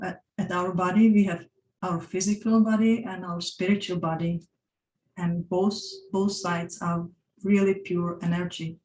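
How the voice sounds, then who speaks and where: A woman's voice: fairly low pitch, a little mellow, echoey room, slight background noise, rough recording. A woman with a Czech accent presenting a workshop talk with slides, stopping often to restart her sentences. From Czech Republic. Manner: hesitant, frequent restarts and fillers.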